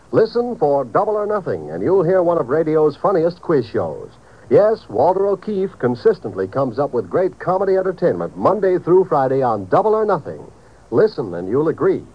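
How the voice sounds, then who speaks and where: Casting: male American network announcer, upbeat pitchman delivery with big swooping intonation, dull vintage radio sound. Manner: upbeat, polished, salesmanlike. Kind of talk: 1950s radio promotional announcing.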